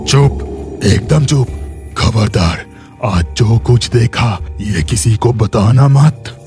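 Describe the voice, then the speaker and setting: Casting: male actor as a protective, stern village uncle in a cartoon, middle-pitched, music behind him. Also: expressive, takes it slowly. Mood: alarmed scolding. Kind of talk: animated horror story acting.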